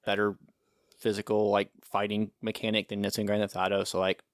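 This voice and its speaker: Fast-talking low-voiced podcast guy. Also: monotone, no echo, studio quality. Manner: rambling and enthusiastic, swears casually. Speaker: podcast co-host. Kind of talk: casual chat.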